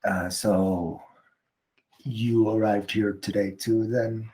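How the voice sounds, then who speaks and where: A man's voice: on the low side, steady tone, slow pace, warm tone, poor audio. A man playing a tabletop game in character, hesitant with many fillers. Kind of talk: casual in-character play.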